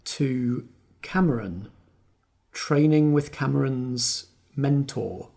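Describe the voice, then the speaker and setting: A man with a gravelly, husky voice leads a tabletop roleplaying game. He improvises narration and puts questions to a player. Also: medium pitch, slow, dark tone. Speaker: game master.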